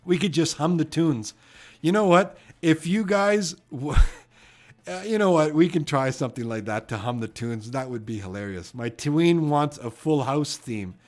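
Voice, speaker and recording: A man's voice: fairly high pitch, expressive, unhurried. A man from Edmonton with a Canadian accent, hosting a trivia live stream in a loose, rambling way and reading out viewer comments. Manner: chatty and rambling, many fillers and "you know what".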